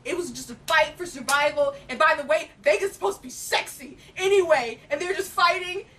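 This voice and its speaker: A woman's voice: brisk, a bit bright. A woman co-hosting a film chat, talking casually in emphatic, slangy, opinionated fan style. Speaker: co-host reviewing films. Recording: distant mic, faint noise and rumble.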